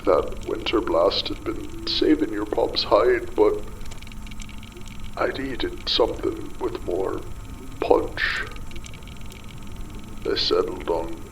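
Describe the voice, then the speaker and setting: Man playing unraveling desperate father, gravelly and breathy, slow halting delivery, bitter muttering, audio drama monologue, faint ambience behind. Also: lowish voice, slight background noise, poor audio. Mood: guilty, faltering. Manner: halting, muttering, broken pauses, bitter swearing. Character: desperate unraveling older father in a ruined underwater city.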